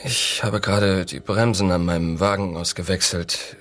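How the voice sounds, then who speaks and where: An actor playing a terse, sheepish injured patient in a German radio drama. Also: male voice, sits low, steady tone, moderate pace, a bit bright. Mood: pained, sheepish.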